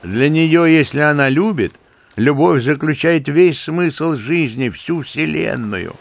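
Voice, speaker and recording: An actor playing an old, kindly, sentimental general who speaks fervently and fondly, in a radio play. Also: male voice, a little high, takes it slowly, creaky. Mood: musing fervent. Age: older. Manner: fervent, musing, fond and paternal. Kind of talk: theatrical radio play acting.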